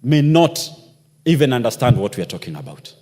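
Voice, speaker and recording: A man's voice: mid-range voice, very animated, brisk, warm tone, slightly rough. A man giving an impassioned parliamentary speech, firing off rhetorical questions as a member of parliament. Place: parliamentary chamber. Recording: long reverb of a large chamber.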